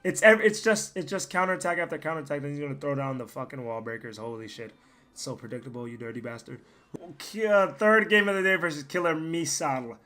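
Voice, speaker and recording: Hoarse young man streaming a game. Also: a little high, quick pace. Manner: profane, rambling, bursts into loud yelling. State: hoarse, losing his voice. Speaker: gaming streamer. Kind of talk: casual live gameplay commentary.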